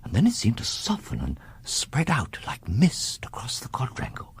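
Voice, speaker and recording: A husky, grave occult witness. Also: male voice, medium pitch, huge pitch swings, moderate pace, heavily acted. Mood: awed, hushed. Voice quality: husky, breaking into whispers. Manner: dramatic, intense storytelling. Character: grave, resolute man summoning dark powers. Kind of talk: British radio horror drama acting.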